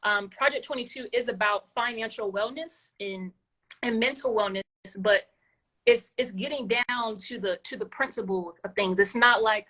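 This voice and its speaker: A woman's voice: fairly high pitch, normal speed, bright, forceful tone, rough recording. A woman hosting an interview over a video call, casual and encouraging, often repeating words as she gathers her thoughts. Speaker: podcast host.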